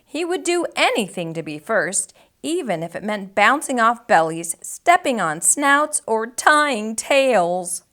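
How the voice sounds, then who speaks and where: A woman reads a children's book aloud as a storytime host. Her voice is high and swings widely in pitch, and she takes her time over the words. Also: very animated, takes it slowly, fairly bright tone. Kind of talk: animated read-aloud narration for children.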